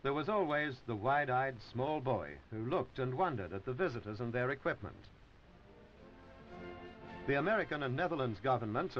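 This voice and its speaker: A man narrating a 1950s newsreel in a formal, measured announcer's delivery. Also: medium pitch. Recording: dull old newsreel film soundtrack.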